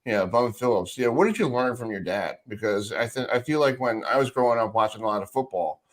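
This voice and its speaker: A man's voice: mid-range voice, animated, fast. A middle-aged man hosting a sports radio show, asking informal, rambling questions in a casual chat.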